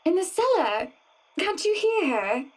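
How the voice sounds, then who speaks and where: A woman's voice: very high-pitched, big pitch swings, unhurried, full character voice, poor audio. An actress playing a polite, anxious young lady of a mansion in a video game, speaking genteelly.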